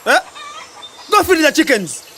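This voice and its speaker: Bossy old farm boss, scolding, very high sharp voice, radio drama. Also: male voice, very animated, measured pace, a little hiss or hum, heavily acted.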